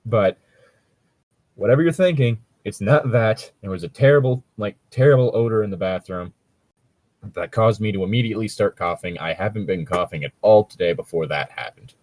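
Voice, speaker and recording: A man co-hosting a casual podcast, riffing and joking in a profane, giggly, rambling way with a husky voice. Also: lowish voice, fairly quick, darkish tone. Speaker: co-host of a podcast.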